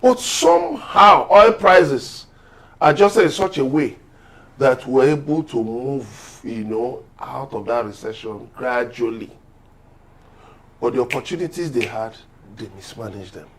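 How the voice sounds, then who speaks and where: A man's voice: mid-range voice, lively intonation, a little slow, fairly bright tone, poor audio. A man with a Nigerian accent speaking as a guest analyst in a TV interview, emphatic and repeating points for stress. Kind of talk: economic and political commentary in a TV interview.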